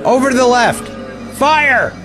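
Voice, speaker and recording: An actor playing a jumpy, hostile young army private in a war audio drama. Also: male voice, extremely high pitch, measured pace, music in the background. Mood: panicked.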